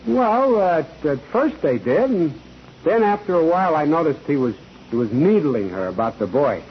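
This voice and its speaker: Through muffled, faintly noisy vintage radio comes a nervous bookkeeper with something to hide. He stammers and doubles his words, his highish voice jumping around as he testifies in an old courtroom drama. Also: big pitch swings, moderate pace. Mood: uneasy, gossipy. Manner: stammering, repeating words, jittery. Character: nervous, evasive bookkeeper hiding a criminal past.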